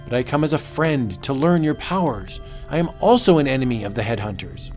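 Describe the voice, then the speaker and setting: A man voicing an excitable, determined explorer anthropologist in a melodramatic comic book audiobook. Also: medium pitch, brisk, soft background music, lightly acted. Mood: earnest.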